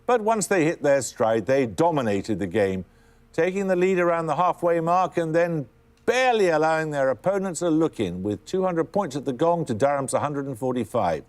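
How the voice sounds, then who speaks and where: A male quiz host with a fairly high, lively voice reads out questions crisply and formally. He has a dry edge as he moves the teams along. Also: normal speed.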